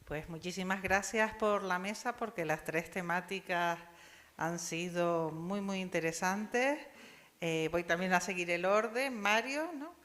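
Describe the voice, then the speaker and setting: A woman's voice: on the low side, a little slow, a bit bright. A woman from Spain with a Spanish accent, giving a discursive academic comment at a university panel and often adding tag questions. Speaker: audience member asking questions at an academic panel. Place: university lecture hall. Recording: long hall reverb.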